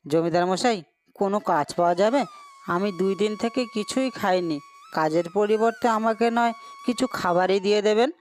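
A woman's voice: mid-range voice, fairly quick, darkish tone, faint music behind, close to an everyday voice. A woman voicing a poor, honest young boy in a cartoon, speaking in an earnest, pleading way. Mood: humble pleading. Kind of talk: Bengali cartoon dubbing.

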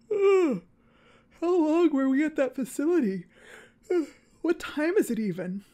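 High-pitched female voice, actress as anxious driven investigator, earnest and urgent, fiction podcast drama. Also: expressive, takes it slowly, dark tone. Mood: frustrated, weary.

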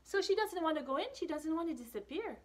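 A woman's voice: extremely high pitch, fast. A woman in her thirties reading a picture book aloud to children, expressively, with casual asides. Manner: expressive, with whispered asides and questions to listeners. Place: small indoor room with brick wall.

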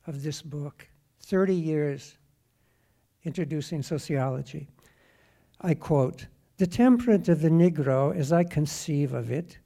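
Mellow, emphatic man. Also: on the high side. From Norway. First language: English. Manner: deliberate, emphatic, didactic. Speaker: audience questioner, teacher. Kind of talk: prepared comment and quotations at a Q&A. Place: lecture theatre audience.

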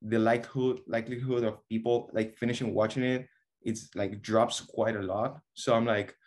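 A man's voice: medium pitch, flat delivery, normal speed. Young man. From Venezuela. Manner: earnest, hesitant restarts, many fillers. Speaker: guest, online fitness coach. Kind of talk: casual video-call interview. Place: small room at home. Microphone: webcam or laptop mic on a video call.

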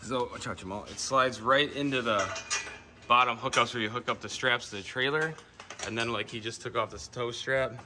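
A man's voice: mid-range voice, normal speed. A man casually explaining and asking questions off the cuff as he films with a handheld camera. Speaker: camera operator and interviewer. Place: indoors in a wooden barn workshop. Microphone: handheld camera or phone mic. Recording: noisy handheld recording in workshop.

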